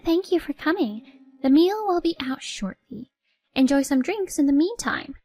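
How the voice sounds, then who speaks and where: A woman voicing a snobbish, scheming middle-aged mother-in-law with a shrill, haughty manner. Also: lively intonation, normal speed, darkish tone, dry recording, soft background music. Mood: gracious.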